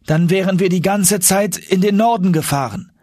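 Guy doing an excitable young nephew in an adventure audiobook, voice pushed up high, eager. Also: moderate pace, no room sound, clean studio sound. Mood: embarrassed. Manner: excitable, exclaiming. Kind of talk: audiobook character acting.

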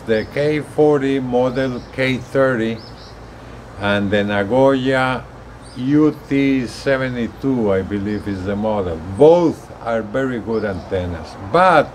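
Man in his sixties, unhurried pace, halting delivery with repeated words, casual explainer, outdoor recording, light background noise. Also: medium pitch. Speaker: gadget reviewer. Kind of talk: casual explanatory vlog talk. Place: outdoors on an apartment balcony. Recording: steady outdoor ambient noise.

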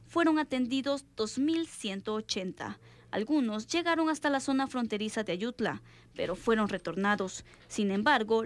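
A woman reading a scripted news report in a clear, formal manner. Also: fairly high pitch.

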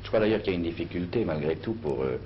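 A man interviewing a guest on the radio, asking measured and polite questions. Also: sits low, animated. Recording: dull old radio archive with faint hiss.

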